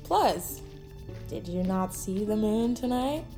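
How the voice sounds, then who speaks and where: A woman's voice: mid-range voice, animated, takes it slowly, warm tone, faint music behind. An actress playing a bold, playful young woman who slays monsters in an audio drama, with a teasing, dramatic manner. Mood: playful resolve.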